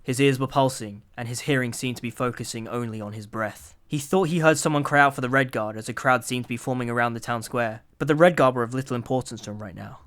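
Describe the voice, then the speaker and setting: Guy narrating a dramatic swashbuckling story, medium pitched voice, scripted audio drama. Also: fast, few pauses, a bit bright.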